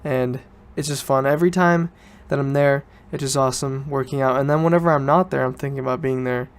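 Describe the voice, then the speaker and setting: A man's voice: mid-range voice, steady tone, fairly quick, clean tone. A young man, student age, talking casually and informally as a solo podcast host, rambling and correcting himself as he goes. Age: teens to young adult student.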